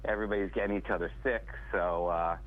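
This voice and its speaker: A congested, stuffed-up man calling into a radio show as a guest attorney, pitching his services straight to the listeners. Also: fairly even intonation, quick pace. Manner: salesy, direct address to listeners. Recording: tinny phone line.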